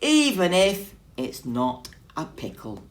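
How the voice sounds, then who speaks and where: A woman voicing a proud, easily flustered male bear in a children's storybook, booming and emphatic. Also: huge pitch swings, takes it slowly, heavily acted. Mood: delighted. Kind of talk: children's storybook character reading.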